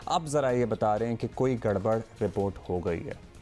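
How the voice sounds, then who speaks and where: A man's voice: on the low side, animated, normal speed, warm tone, faint music behind. A male news anchor in a TV studio, speaking conversationally and mixing in English phrases.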